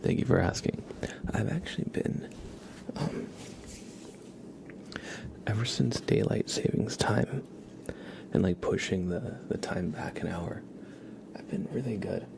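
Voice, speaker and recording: Male ASMR streamer, lowish creaky voice, gentle rambling chat drifting into whispers, noticeable background noise. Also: unhurried, pauses often. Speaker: ASMR livestream host. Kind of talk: soft-spoken ASMR with casual livestream chat.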